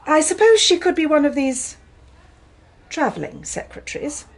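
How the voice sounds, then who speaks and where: An actress playing a brisk, wary office secretary in a radio drama, guarded and quick to correct. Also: female voice, high voice, big pitch swings. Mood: brisk, firm.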